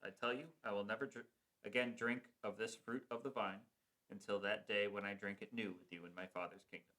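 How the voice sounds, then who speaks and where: A man reading the part of Jesus in a church passion play, slow and grave. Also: on the low side, fairly even intonation, sharp tone, poor audio. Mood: solemn. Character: Jesus, calm solemn male teacher.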